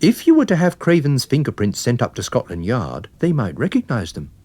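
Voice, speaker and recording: Guy playing polite wine salesman sleuth in an audiobook story, really animated pitch swings, dry close sound. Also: brisk, breathy edge, no room sound. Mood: eagerly explaining. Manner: polite, tactful, gently pointed. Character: affable, observant wine salesman turned amateur sleuth, male. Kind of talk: dramatised audiobook reading.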